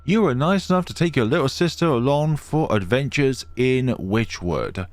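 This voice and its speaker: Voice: mid-range voice, animated, moderate pace, no echo. A gamer giving casual commentary, reacting and reading game text aloud expressively, close to the microphone. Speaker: gamer, let's player.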